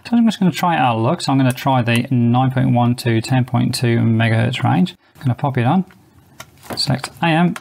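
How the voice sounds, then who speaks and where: A man's voice: mid-range voice, brisk, creaky, a little mellow, a little hiss or hum. A man reviewing a small shortwave radio, explaining each feature and step methodically as host of his own channel. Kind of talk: product review walkthrough. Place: indoors.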